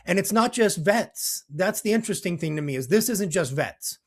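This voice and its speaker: A man's voice: sits high, animated, normal speed. A man hosting a podcast in an earnest, conversational style, with a slightly scratchy voice and an emphatic way of stacking repeated phrases. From United States. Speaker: podcast host.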